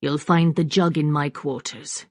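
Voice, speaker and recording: A woman's voice: low-pitched, steady tone, a little slow, darkish tone, dry recording, clean studio sound. A woman voicing a stern, protective male clan leader in a storybook, with a firm, authoritative manner. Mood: gentle authority.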